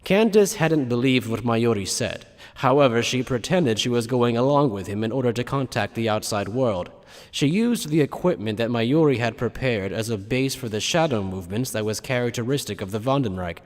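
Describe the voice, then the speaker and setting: A man reading scripted story narration for an audio drama. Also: medium pitch. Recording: long hall-like reverb.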